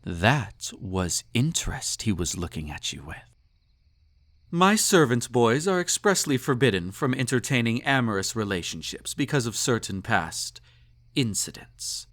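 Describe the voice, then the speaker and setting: Slow-talking, snobbish, possessive emperor, acted by a man. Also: medium pitch, big pitch swings, a bit bright. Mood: amused condescension. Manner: pompous, condescending, teasing, ornate formal phrasing. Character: snobbish, possessive male emperor, indulgent and slightly tipsy. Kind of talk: intimate roleplay audio drama, second-person monologue.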